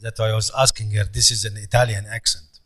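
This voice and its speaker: A middle-aged man with an Egyptian accent giving a conference lecture, pausing often with fillers. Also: fairly low pitch, little pitch movement, fairly bright tone. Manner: hesitant, many fillers, non-native phrasing. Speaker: professor lecturer.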